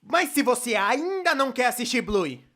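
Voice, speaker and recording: A man's voice: very high-pitched, very animated, moderate pace, sharp tone, full character voice. A man with a Brazilian accent dubbing a casual, wry young storyteller in scripted video commentary. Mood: casual, sincere. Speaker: dubbed narrator of an animated opinion video.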